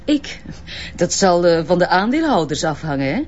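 An actress playing a prim, evasive director's assistant with a Flemish accent, corporate and slightly condescending in naturalistic TV drama dialogue. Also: female voice, fairly low pitch, big pitch swings, moderate pace, a little mellow, muffled recording, slight background noise. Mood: evasive, businesslike.

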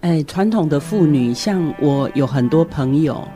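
Low-key middle-aged Taiwanese woman, music behind. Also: lively intonation, a little slow, dark tone. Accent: Taiwanese Mandarin. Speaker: guest commentator, educator. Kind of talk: reflective discussion on a radio programme.

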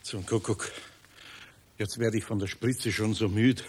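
An actor playing an older, grumbly but caring master craftsman with a Bavarian accent and a husky voice in a children's radio play. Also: lowish voice, fairly even intonation, measured pace, a little mellow, slight background noise, lightly acted. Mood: weary, resigned. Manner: grumbling, homely.

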